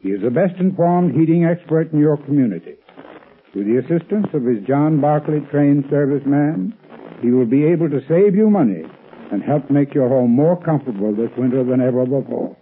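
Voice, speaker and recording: An American man with a husky voice, delivering a scripted 1930s radio advertisement as a company expert. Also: fairly high pitch, lively intonation, fairly quick. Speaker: company heating expert giving a sponsor talk. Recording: tinny 1930s radio recording.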